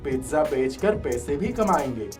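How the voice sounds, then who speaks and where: A man's voice: fairly high pitch, expressive, moderate pace, a little mellow, faint music behind, lightly acted. A man playing a humble, forgiving poor garbage collector in a cartoon story, speaking politely and earnestly. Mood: polite, grateful.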